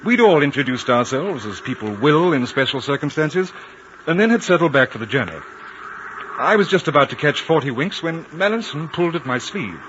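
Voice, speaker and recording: An actor playing a composed, courteous British consul in a radio drama, with understated delivery. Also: male voice, fairly high pitch, very animated, a little fast. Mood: reflective. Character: composed, courteous British consul, adventurer recalling his journey. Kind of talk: 1950s radio drama acting and narration. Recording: noisy, muffled old radio broadcast.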